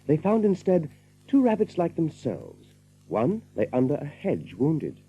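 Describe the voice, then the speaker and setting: A man's voice: a little high, huge pitch swings, a little slow, dark tone, breathy edge, lo-fi sound. A man narrating a story as scripted, dramatic storytelling for an audio drama.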